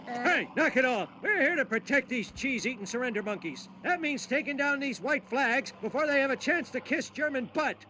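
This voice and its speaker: A man voicing a loud, dim, blustering middle-aged American dad in an animated comedy game. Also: extremely high pitch, moderate pace, slight background noise, full character voice.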